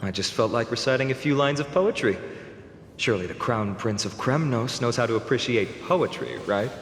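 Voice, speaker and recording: A man's voice: medium pitch, expressive, normal speed, a little hiss or hum, close to an everyday voice. Man playing an earnest young hero, echoing hall. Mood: mild, teasing. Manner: polite, articulate, slightly theatrical. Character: earnest, courteous young heroic warrior, gently teasing. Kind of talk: anime-style video game voice acting. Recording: echoey hall reverb, music behind.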